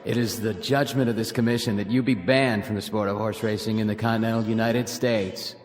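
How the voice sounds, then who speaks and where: An actor playing a cold, stern commissioner passing judgment in a radio drama, formal, official and unyielding. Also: male voice, fairly low pitch, fairly even intonation, brisk, lightly acted. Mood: stern, final. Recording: reverberant hall, loud background noise.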